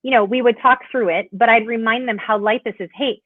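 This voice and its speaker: A woman's voice: fairly high pitch, fairly even intonation, brisk, no echo. An American woman chatting as a motivational interview guest, gushing and emphatic, heard over a call. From Hawaii, United States. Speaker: interview guest, leadership coach. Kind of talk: casual motivational chat. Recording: tinny, narrow phone-like call audio.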